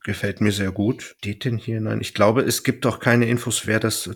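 Male podcast host, lower register, casual review talk with wry asides. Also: steady tone, normal speed, breathy edge. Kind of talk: casual audio drama review chat.

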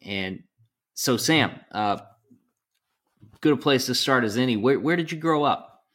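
A man's voice: medium pitch, animated, measured pace, a bit bright. A young man co-hosting a casual podcast, wry and joking, with easy laughter. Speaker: podcast co-host. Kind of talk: casual chat.

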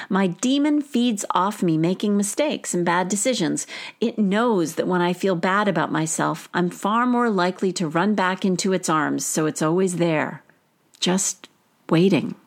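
A woman reading a personal essay aloud in measured, deliberate literary phrasing. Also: lowish voice, animated. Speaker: author and teacher.

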